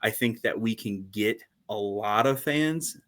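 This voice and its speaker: Bright-voiced chatty man on a podcast. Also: lowish voice, measured pace. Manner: animated, laughs often, many "like" fillers. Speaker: podcast co-host. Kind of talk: casual chat.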